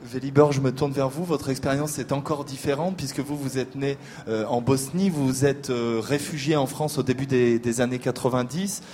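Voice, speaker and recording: A man hosting a literary discussion, introducing guests and asking measured questions with frequent hesitations. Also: medium pitch, fairly even intonation, darkish tone. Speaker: host.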